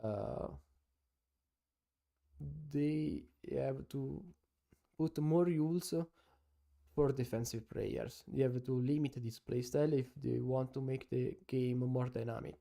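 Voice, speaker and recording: Italian-accented man, gravelly voice, dark mellow tone, halting live-stream commentary, lots of uh. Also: medium pitch. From Italy. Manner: halting non-native English with many "uh"s, chatting with viewers. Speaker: taekwondo analyst streaming live.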